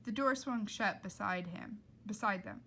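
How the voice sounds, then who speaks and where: A woman reading a children's novel aloud in a dramatic, expressive storytelling style. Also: medium pitch, unhurried. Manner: dramatic, with emphatic character voices.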